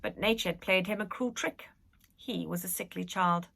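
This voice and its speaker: A woman reading a children's story aloud in an expressive, animated and dramatic manner. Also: on the low side, normal speed, vocal fry. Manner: animated, dramatic, with whispered suspense. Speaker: reader, school staff member.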